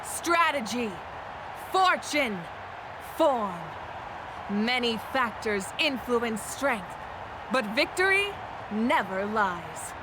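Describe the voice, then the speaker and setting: A dignified game ruler with a high voice and slow, solemn delivery. She sounds authoritative and measured, with clear noise behind her. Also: sing-song, exaggerated voice. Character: commanding, dignified female ruler addressing contestants. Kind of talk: game voice acting, formal oration.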